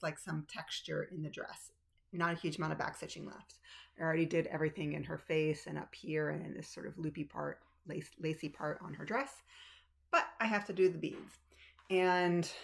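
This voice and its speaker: A woman's voice: fairly low pitch, creaky. A woman chatting casually to camera about her cross stitch, rambling with ums and restarts, recorded on a tablet's built-in mic in a room at home. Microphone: tablet's built-in mic, no external microphone.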